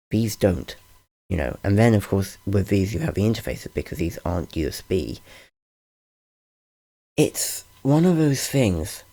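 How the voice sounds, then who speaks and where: Deep gravelly man, rambling tutorial. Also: darkish tone. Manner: rambling, self-correcting, wry. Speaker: channel host explaining audio gear. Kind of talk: casual screen-recorded tutorial. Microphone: large-diaphragm studio condenser.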